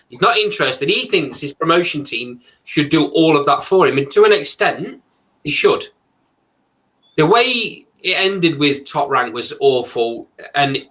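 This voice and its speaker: A man with a sharp, bright voice, giving his blunt take on a boxing panel. He hesitates with "uh" and "um" between points. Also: mid-range voice, low-quality recording. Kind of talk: casual chat.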